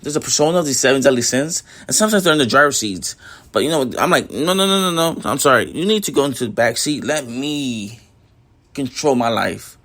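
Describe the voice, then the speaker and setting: A young man with a slightly raspy voice hosts his own casual podcast, thinking out loud into a home mic. He rambles, restarts and leans on fillers, his pitch sitting in an ordinary middle range. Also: animated, a little fast. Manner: rambling, filler-heavy, keeps saying "you know what I mean". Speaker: podcast host. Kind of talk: casual solo reflection.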